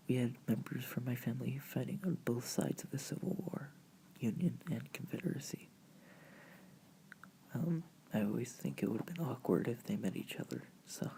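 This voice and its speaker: Soft breathy whisper, dark mellow tone, gravelly fry, informal rambling ASMR slideshow narration, from the United States. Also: mid-range voice, moderate pace, pauses often, slight background noise, poor audio. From United States, family from Virginia. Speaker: video creator and host.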